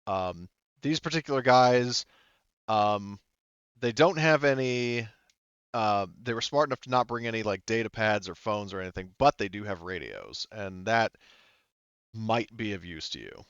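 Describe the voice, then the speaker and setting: A man's voice: on the low side, animated, normal speed, fairly bright tone, smooth, no room sound, dull audio. A man running a tabletop game as game master, improvising the narration casually in a rambling, hesitant way, thinking aloud.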